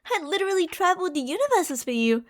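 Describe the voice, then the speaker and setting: A very high-pitched, lovesick yandere girlfriend played by a woman speaks straight to her darling in an ASMR roleplay. She is a delusional genius scientist, gushing and possessive, moving between hushed confiding and excited devotion. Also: fast talker. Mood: proud, devoted. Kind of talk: ASMR roleplay monologue addressed to the listener.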